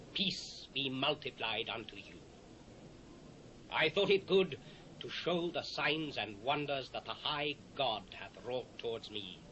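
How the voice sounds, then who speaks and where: Proud ancient monarch, British accent, bright forceful voice, slow imperious declaiming, audio drama, faint cassette hiss. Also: male voice, medium pitch, low-quality recording. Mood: grand, proclaiming. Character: proud, commanding ancient king of Babylon. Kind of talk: dramatised scripture reading.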